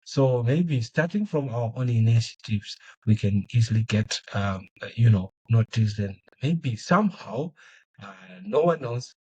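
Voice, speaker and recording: A man's voice: mid-range voice, expressive, a little slow, darkish tone, husky, dull audio. A young man from Zimbabwe whose first language is Shona, answering interview questions thoughtfully with many fillers and restarts. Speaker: guest.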